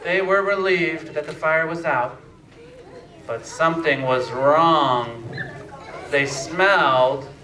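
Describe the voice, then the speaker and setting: A man narrating a scripted fairytale slowly and deliberately, with pauses, as a storyteller. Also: a little high, pushed and bright, some chatter behind. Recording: echoey room, distant mic, faint noise.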